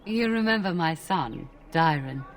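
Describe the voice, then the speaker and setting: A woman's voice: lowish voice, animated, unhurried, recorded from a distance, slight background noise. A woman playing an effusive middle-aged noble lady in a fantasy game, a genteel and gushing matchmaking mother. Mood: genteel, eager.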